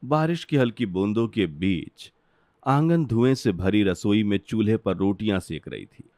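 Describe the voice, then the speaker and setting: A man's voice: lowish voice, animated, unhurried, darkish tone, dry recording, clean studio sound. A man narrating a scripted cartoon family story.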